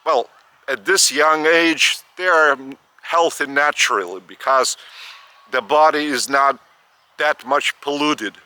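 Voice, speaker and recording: Older male spiritual teacher, Lithuanian accent, slow and halting, bright tense tone, outdoors. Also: on the high side, clean tone, no echo. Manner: slow, halting, repeats words. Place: outdoors by a school stadium.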